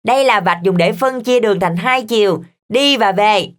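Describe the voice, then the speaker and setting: A woman voicing a polite, bright little child team leader in a children's cartoon. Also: mid-range voice, moderate pace, natural voice. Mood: earnest.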